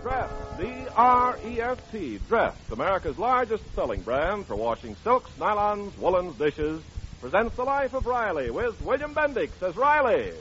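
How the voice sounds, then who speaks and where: A man's voice: sits high, sing-song, moderate pace, a bit bright, soft background music. A man announcing and narrating a 1940s radio show in an emphatic, mock-solemn, theatrical way. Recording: muffled old radio broadcast recording.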